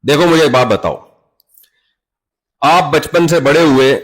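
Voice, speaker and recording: A man's voice: fairly high pitch, measured pace. A man with an Urdu-speaking Pakistani accent giving a sermon, talking conversationally and acting out little dialogues with everyday examples. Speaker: Islamic preacher.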